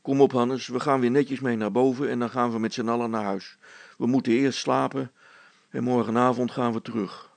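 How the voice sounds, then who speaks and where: A man's voice: mid-range voice, fairly even intonation, normal speed, creaky, dry recording, close to an everyday voice. A man voicing a brusque, practical father in a children's story, blunt, emphatic and easily exasperated. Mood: firm.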